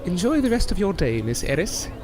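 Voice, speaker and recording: Proud, florid magician, swooping delivery. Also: male voice, high-pitched, big pitch swings, a little fast, background noise. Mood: grateful. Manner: theatrical, wordy, formal diction. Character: proud, wounded older male stage magician, florid and courteous. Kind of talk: audio drama acting.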